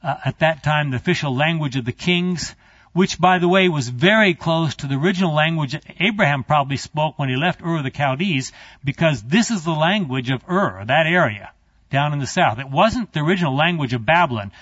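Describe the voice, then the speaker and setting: Man, Bible teacher, classroom lecture, conversational, digressive asides, higher voice, slightly dull sound. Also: lively intonation, fairly quick, fairly bright tone, breathy edge, no echo. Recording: dry, slightly muffled recording.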